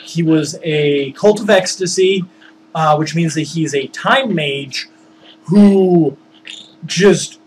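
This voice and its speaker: Man, slightly high pitch, informal rambling storyteller, animated, tabletop gaming tales, faint noise behind. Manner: informal, animated, acts out dialogue. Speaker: game master recounting his tabletop games. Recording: noisy background.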